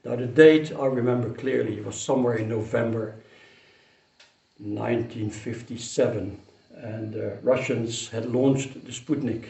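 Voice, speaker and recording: An older man who grew up in Indonesia, fondly telling a childhood memory in an unhurried way, with pauses and restarts. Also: mid-range voice, gravelly, warm tone. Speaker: storyteller. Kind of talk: personal memory told to camera. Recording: roomy echo, mic some distance away.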